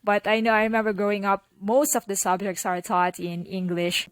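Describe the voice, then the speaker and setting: A woman's voice: medium pitch, little pitch movement, normal speed, dry recording. A young woman with a Filipino accent talks easily as a podcast guest, her voice sitting in a middle register. She leans on "like" and "you know" as she goes. The recording is dry and close, with no room sound. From Philippines. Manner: chatty, many "like" and "you know" fillers. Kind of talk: casual interview conversation.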